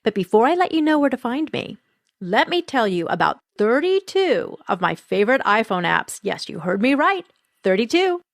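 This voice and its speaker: A woman's voice: on the high side, sing-song, normal speed, dry recording. A woman reading scripted narration for podcasts and radio, as a host and reporter.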